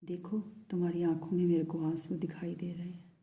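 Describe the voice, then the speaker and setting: A lovesick, pleading man, as a woman speaks his message in a very mellow, dark tone that stays flat and even. The delivery is soft and hushed and often sinks to a whisper. The pitch sits low for a woman. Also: brisk, lightly acted. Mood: tender, pleading. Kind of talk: channelled love message in first person.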